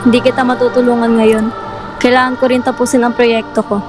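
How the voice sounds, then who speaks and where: Woman playing a high-voiced schoolgirl friend. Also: little pitch movement, fast talker, over music, close to an everyday voice. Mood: apologetic. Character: schoolgirl Marian, apologetic friend. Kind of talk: amateur radio drama acting.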